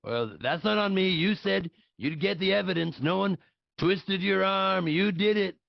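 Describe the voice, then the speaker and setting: A man acts out a blustery, self-assured politician parody for a comedy table read. He is curt and dismissive, with a creaky, higher male voice. The sound is dry and slightly dull. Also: unhurried, heavily acted. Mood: accusing.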